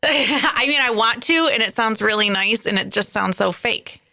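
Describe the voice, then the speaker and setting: A woman's voice: a little high, normal speed, gravelly, bright, forceful tone, dry recording. A woman in her forties co-hosting a podcast, chatting casually and reading tarot card meanings with playful comic asides. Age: 40s. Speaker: podcast co-host.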